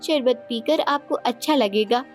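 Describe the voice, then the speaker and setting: A woman's voice: on the high side, measured pace, faint music behind, lightly acted. A woman voicing a sweet-talking, innocent-seeming young second queen in a cartoon folktale, with a deferential manner. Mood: sweet, coaxing.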